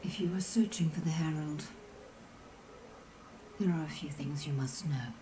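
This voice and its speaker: A woman's voice: deep voice, normal speed, noisy background, lightly acted. A woman playing a bitter, proud, street-wise underworld figure in a fantasy video game, with a scornful, theatrical delivery. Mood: guarded, stern. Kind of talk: dramatic video game acting.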